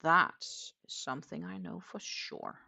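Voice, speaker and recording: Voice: mid-range voice, wildly swooping pitch, a little slow, gravelly, sharp tone. A crochet livestream host from Denmark with a Danish accent, chatting casually and answering viewer comments while working. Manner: thinks aloud, with many fillers and trailing sentences.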